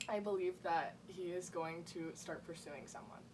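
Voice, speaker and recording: A woman's voice: lowish voice, normal speed. A woman in her twenties from Oklahoma, co-hosting a casual podcast and joking with her best friend, full of "like"s. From Oklahoma, USA. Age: 20s. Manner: chatty, joking, lots of "like". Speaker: podcast co-host. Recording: noisy room, distant from mic.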